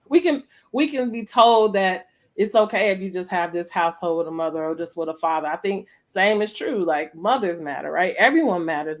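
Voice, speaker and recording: A middle-aged American woman hosting a casual, reflective conversation, speaking emphatically and often checking in with her listener. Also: fairly low pitch. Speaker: host of a family storytime conversation.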